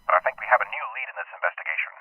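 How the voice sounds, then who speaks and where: A man playing a husky, brisk police sergeant. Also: sits low, wildly swooping pitch, no echo, exaggerated voice. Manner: brisk, businesslike reporting. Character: matter-of-fact male police sergeant. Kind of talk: student radio drama. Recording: tinny phone-like, dry sound.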